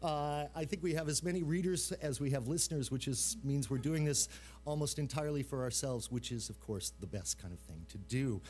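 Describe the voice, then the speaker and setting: Halting male emcee with faint music behind. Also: medium pitch, darkish tone. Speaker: master of ceremonies. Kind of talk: informal introduction to a live audience. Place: live event room.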